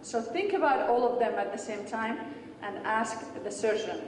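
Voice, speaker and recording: A woman's voice: fairly high pitch, expressive, normal speed. A woman from Syria, giving an earnest medical lecture in short explanatory phrases and answering questions in a large hall. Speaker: pathology lecturer. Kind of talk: conference lecture and Q&A. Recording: echoey hall, distant mic, background noise.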